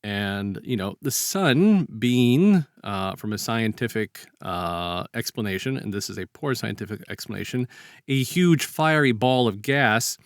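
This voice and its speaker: A man's voice: fairly low pitch, lively intonation, a little slow, no room sound, clean studio sound. A man co-hosting a podcast, chatting and telling stories in a rambling, colloquial way. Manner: rambling, colloquial, acts out short quotes. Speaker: podcast co-host. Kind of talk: casual chat, informal storytelling.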